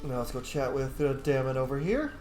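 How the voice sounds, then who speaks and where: A man's voice: medium pitch, sing-song, a little fast, darkish tone. Man casually commentating a game, roomy echo. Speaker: gaming streamer. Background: faint game music.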